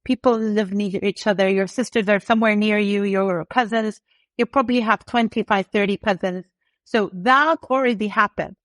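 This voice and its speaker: A middle-aged woman, raised in the UK, speaking as a company co-founder and guest in a casual interview. She talks with animated confidence, drawing on vivid examples. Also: medium pitch, moderate pace, no echo.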